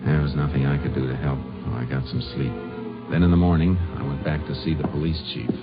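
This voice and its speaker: An actor in an old-time radio drama playing a steady, thoughtful Texas Ranger who investigates and narrates in a laconic, measured way. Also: male voice, rumbling low, loud music behind the voice. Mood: somber narration. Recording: dull vintage radio recording.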